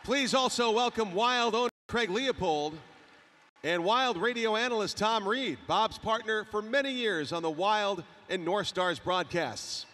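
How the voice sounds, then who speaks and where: High, bright male announcer in an echoing arena. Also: expressive, unhurried. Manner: formal, projected public-address delivery. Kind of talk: scripted ceremony announcement. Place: large indoor ice hockey arena. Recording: echoing arena PA with clear crowd ambience. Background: arena ambience and noise.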